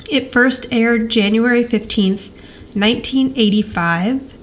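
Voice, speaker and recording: A woman's voice: a little high, takes it slowly. An American woman co-hosting a podcast in a casual, wry, chatty way as she recaps. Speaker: podcast co-host. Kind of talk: casual chat, episode recap. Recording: faint background noise.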